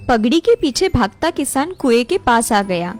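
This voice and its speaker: A woman narrates a cartoon moral tale from a script. Her voice is high-pitched. Faint music plays behind the narration. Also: sing-song, moderate pace.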